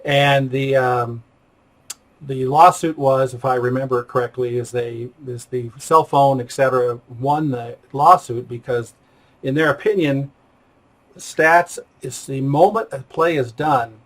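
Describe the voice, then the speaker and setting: A male interviewer with an average-pitched voice. He chats casually and works out his points aloud, in the loose style of an informal conversation. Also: steady tone, a little slow, a bit bright, low-quality recording. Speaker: interviewer, host.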